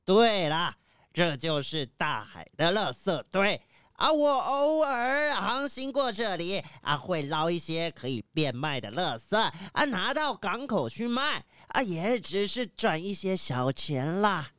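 A man's voice: high voice, big pitch swings, takes it slowly, a bit bright, clear, resonant tone, no echo, clean studio sound, exaggerated voice. A man playing a folksy, plain-spoken ship captain in a children's radio drama, drawing out his exclamatory sentence endings. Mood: matter-of-fact.

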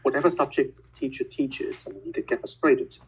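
A man whose first language is Cantonese, a physicist and educator, speaking in a measured, explanatory way with frequent filler words during a casual conversation over a call. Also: mid-range voice, sing-song, vocal fry, dull audio, slight background noise.

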